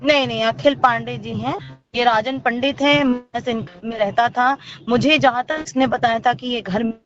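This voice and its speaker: A woman's voice: on the high side, steady tone, normal speed, a bit bright. A woman giving her account as an interview guest over a phone call, speaking in an emphatic, accusatory way. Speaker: interview guest, actor and singer. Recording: dull lo-fi phone recording, clear noise.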